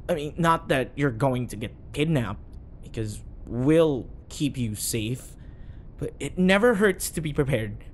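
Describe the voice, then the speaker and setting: A man's voice: mid-range voice, lively intonation, a little slow, a little hiss or hum, lightly acted. A man playing an awkward, eager, obsessive bodyguard sidekick who rambles and corrects himself in an audio roleplay. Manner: rambling, flustered, self-correcting.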